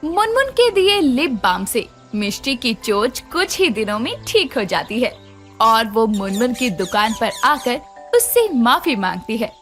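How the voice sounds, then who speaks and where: A woman's voice: on the high side, a bit bright. A woman narrating a scripted children's story.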